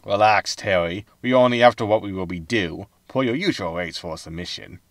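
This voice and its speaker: An actor playing a pragmatic, wry, world-weary spaceship captain in a science fiction audio sitcom. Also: male voice, on the low side, moderate pace, no echo, clean studio sound, natural voice. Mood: calm.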